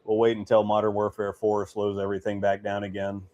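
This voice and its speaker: A man in his mid-forties with a naturally deep voice talks in a loose, easygoing way. He rambles and restarts his sentences often. He is a guest chatting over a call. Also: monotone, a little fast, warm tone, lo-fi sound. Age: 40s. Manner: rambling, self-correcting, easygoing. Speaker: guest bowling ball reviewer. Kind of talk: casual chat.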